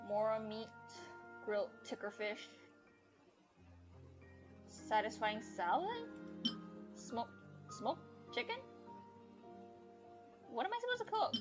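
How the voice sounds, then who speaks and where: High-voiced woman streaming a game over music. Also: sing-song, takes it slowly, pauses often, muffled recording. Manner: muttering to self, swears casually. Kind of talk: casual live gameplay commentary. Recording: loud game music behind.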